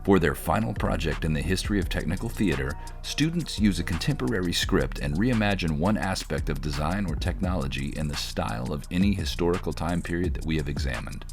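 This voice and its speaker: A man reading scripted documentary narration in a measured, formal, instructional manner. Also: sits low, expressive, vocal fry, music in the background. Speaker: narrator of a college showcase.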